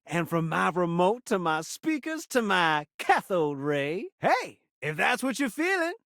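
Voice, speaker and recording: A man's voice: very high voice, sharp tone, no echo, studio quality, full character voice. A man voicing a showy, flirty talking television set in a video game, rhyming with theatrical showman flair. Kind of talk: video game character voice acting.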